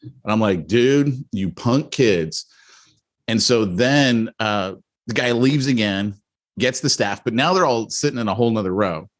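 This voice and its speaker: A middle-aged man co-hosting a podcast, telling a story in a rambling, animated way with many restarts and asides. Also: lowish voice, normal speed. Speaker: podcast co-host. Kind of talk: casual storytelling chat.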